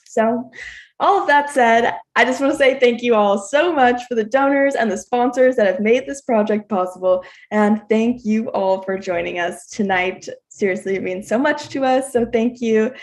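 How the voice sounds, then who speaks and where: A woman's voice: on the high side, normal speed. An American woman giving a spoken welcome as host of an online webinar, heard over a video call. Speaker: event host, theater company marketing coordinator.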